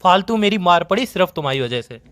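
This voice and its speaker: A man's voice: high-pitched, expressive, quick pace, a bit bright. A man, playing an exasperated, teasing boyfriend who rambles and complains in an acted phone-call comedy. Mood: exasperated complaining. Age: 20s. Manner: rambling, complaining, dramatic storytelling. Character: exasperated, teasing young boyfriend bickering with his girlfriend.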